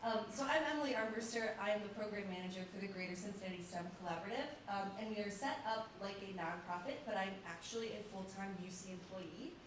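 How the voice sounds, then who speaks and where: A woman's voice: medium pitch, fast, few pauses, noisy background. A woman on a panel describing her work in a chatty, self-deprecating way. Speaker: panelist, program manager. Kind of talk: casual panel talk. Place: lecture room. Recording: very echoey hall, distant mic.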